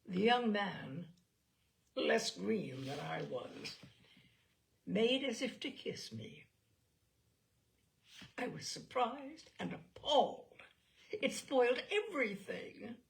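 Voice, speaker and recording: A woman reading literary memoir aloud in a measured, theatrical storytelling manner. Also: a little high, expressive, creaky, slight background noise. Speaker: reader of literary selections.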